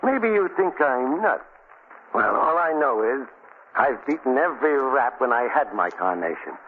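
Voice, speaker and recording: A man's voice: mid-range voice, sing-song, measured pace. An American actor playing a vengeful, superstitious condemned gangster with slangy tough-guy talk in a 1940s radio drama. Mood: defiant. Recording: narrow, hissy 1940s radio recording.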